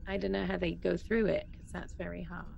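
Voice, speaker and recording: A woman's voice: lowish voice, flat delivery, brisk, gravelly, darkish tone, slight background noise, poor audio. A woman chatting casually and informally as a player in a roleplaying game. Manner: informal, reactive. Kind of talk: casual chat.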